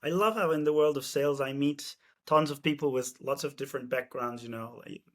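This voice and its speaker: A man's voice: medium pitch, a little fast, fairly bright tone. A man hosting a podcast interview, conversational and friendly as he asks his guest questions. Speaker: podcast host.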